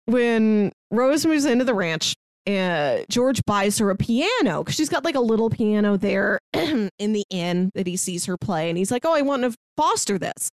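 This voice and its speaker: A woman's voice: mid-range voice, lively intonation, normal speed, no echo, clean studio sound. A woman co-hosting a podcast, casually discussing film and retelling things by acting out imagined lines. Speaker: podcast co-host.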